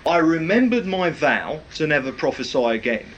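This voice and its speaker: Loud preacher with lively pitch. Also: male voice, normal speed, dull audio, slight background noise. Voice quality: loud, projecting voice. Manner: rambling, with many fillers. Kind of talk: anecdotal sermon testimony.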